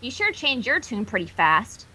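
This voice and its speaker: A woman's voice: a little high, sing-song, normal speed, sharp tone, breathy edge, pitched below the performer's own voice, exaggerated voice, poor audio. A woman voicing a melodramatic, indignant young female pony in a cartoon fan fiction reading, overwrought and accusatory.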